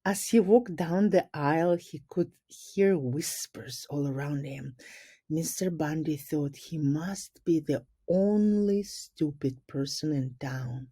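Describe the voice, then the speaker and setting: A woman reading a picture book aloud like a teacher, in a deliberate, explanatory way. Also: low voice, mellow, breathy edge, dry recording. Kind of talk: storybook read-aloud with brief explanations.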